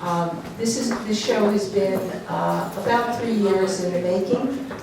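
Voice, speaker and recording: A woman curator giving halting opening remarks at a gallery exhibition, filling pauses with ums and uhs. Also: medium pitch, gravelly. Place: gallery room with an audience. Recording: echoey room from far off, noisy.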